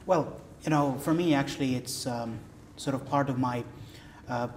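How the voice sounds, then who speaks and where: A young man whose first language is Arabic talks in fluent English, his speech full of "uh" and "you know" fillers. His voice is mid-pitched. The recording has a faint background hiss. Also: moderate pace, darkish tone. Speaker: interviewer. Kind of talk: conversational interview.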